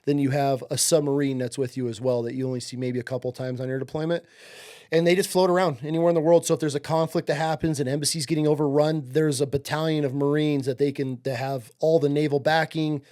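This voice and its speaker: Male guest, late thirties, from upstate New York; relaxed, profane storyteller whose voice sits slightly high. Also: fast. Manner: laid-back, profane, says "dude" and "like" a lot. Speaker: podcast guest, Marine veteran. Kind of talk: casual interview storytelling.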